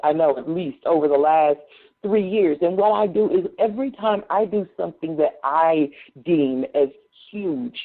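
Talkative woman poet, candid storyteller, phone-line sound. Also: medium pitch. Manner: talkative, candid, restarts often. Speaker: guest speaker and poet. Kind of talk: personal storytelling on a call.

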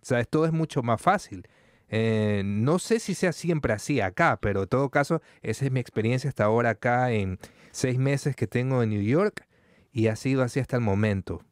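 Podcast host from ecuador, mid-pitched man, chatty, keeps saying yeah, dry sound. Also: normal speed, no room sound. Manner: chatty, frequent affirming back-channels. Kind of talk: casual podcast interview.

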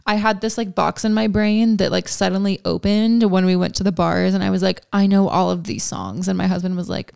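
Young woman, from Georgia, no country accent, gravelly fry, smooth, casual podcast chat, ums and likes. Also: medium pitch, a little fast, hardly any pauses, a little mellow, dry recording, clean studio sound. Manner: chatty, many ums and likes. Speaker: podcast guest.

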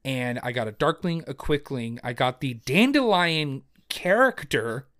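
A man co-hosting a casual podcast in a middle-range voice. He talks in an excited, rambling way, full of fillers and false starts. His sound is plain and everyday, with nothing unusual about it. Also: medium pitch, measured pace. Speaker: podcast co-host. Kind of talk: casual chat about tabletop games. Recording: lo-fi home audio.

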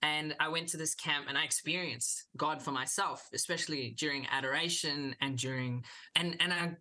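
A man's voice: a little high, steady tone, moderate pace, sharp tone. A man in his twenties from India, a youth minister talking as a guest in a casual interview, earnest, with hesitant restarts and easy laughs. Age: 20s.

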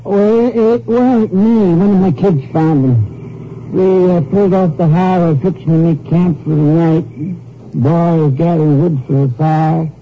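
Gravelly Southern migrant man. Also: a little high, a little slow, slight background noise. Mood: uneasy, defensive. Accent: rural Southern US. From Arkansas. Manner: folksy, hesitant, plain-spoken. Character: shaken, poor migrant family man. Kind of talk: 1950s radio drama acting. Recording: tinny, band-limited old radio recording.

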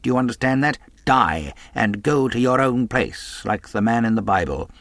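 A man's voice: mid-range voice, animated, normal speed, fairly bright tone, no room sound. A man doing a stern, blunt doctor. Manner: firm, warning. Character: stern male country doctor, firm and blunt.

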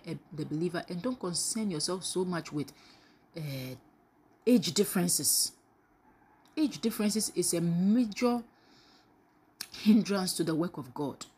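A woman preaches a live devotional. Her voice sits somewhat low with a husky edge. She keeps asking her listeners questions and throws in praise interjections between points. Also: a little mellow. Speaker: preacher leading a daily devotional.

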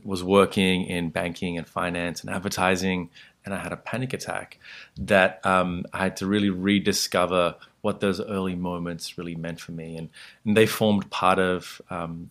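A man with an Australian accent talking reflectively as an interview guest, pausing often as he phrases his thoughts. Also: deep voice, a bit bright. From Sri Lanka until age six, then Australia. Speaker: podcast guest, meditation teacher.

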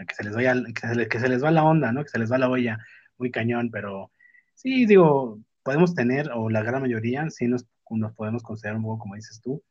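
Mexican man, husky mid-pitched voice, blunt casual talker who restarts sentences; muffled, roomy sound. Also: a little mellow. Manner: frank, slangy, halting and self-interrupting. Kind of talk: casual chat. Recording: muffled lo-fi audio in echoey room.

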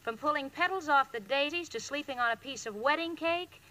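A woman's voice: high voice, a little fast, pushed and bright. An actress playing a wry, marriage-hungry young single woman in a 1940s radio comedy, with theatrical comic delivery on an old recording. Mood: wry, wistful. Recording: noisy vintage radio recording.

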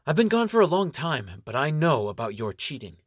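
A man's voice: a little high, very animated, fairly quick, no echo, clean studio sound, pitched above the performer's own voice. A man doing a fierce young elf woman. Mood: angry. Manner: snappish, threatening. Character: angry young female elf, commanding and sharp.

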